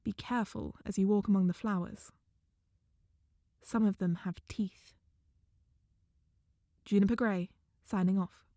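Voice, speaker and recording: A woman playing an earnest, adventurous field naturalist giving a measured, wonderstruck report in an audio drama. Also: mid-range voice, steady tone, dark tone, natural voice. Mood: measured warning. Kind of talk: scripted audio-drama narration.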